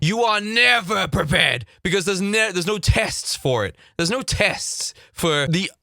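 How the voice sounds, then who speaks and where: Slightly hoarse Northern Irish man. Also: fairly high pitch, dry recording, studio quality. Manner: animated, quick asides, frequent laughter. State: slightly hoarse from vocal fatigue. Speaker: goth reaction video host. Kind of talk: casual reaction commentary. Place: home streaming room. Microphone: desk mic on boom arm.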